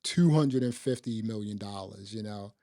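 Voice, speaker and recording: A man's voice: lowish voice, normal speed, warm tone, no room sound, clean studio sound. A man hosting a podcast, chatting casually about movies in a conversational way peppered with little asides. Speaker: podcast host. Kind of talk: casual movie review chat.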